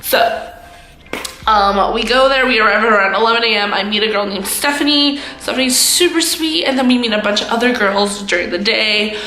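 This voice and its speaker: A woman in her twenties telling a casual story to camera as a vlogger, with a loud voice and a giggly, self-mocking manner. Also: fairly high pitch, moderate pace, reverberant, poor audio. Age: 20s. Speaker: vlogger, host of own channel.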